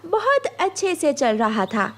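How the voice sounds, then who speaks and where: A woman's voice: high voice, huge pitch swings, a little slow, a little hiss or hum. A woman reading a scripted children's cartoon story as narrator.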